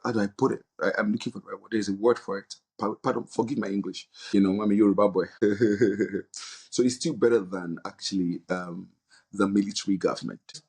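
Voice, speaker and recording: A man's voice: fairly low pitch, steady tone, a little fast, a little mellow, slightly rough. A man with a Nigerian accent answering interview questions in an earnest, hesitant way, searching for words. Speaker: interview guest, non-profit leader.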